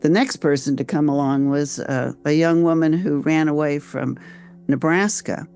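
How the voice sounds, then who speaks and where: An older woman with an American accent, telling her own story conversationally as an interview guest, reflective and halting, repeating phrases as she goes. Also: deep voice, fairly even intonation, a little mellow, clear, resonant tone, soft background music. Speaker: interview guest, author and volunteer researcher.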